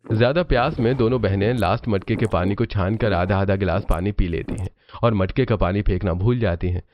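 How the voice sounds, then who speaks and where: A man's voice: fairly low pitch, fairly quick. A man narrating a scripted cartoon story, on a muffled recording with music behind him.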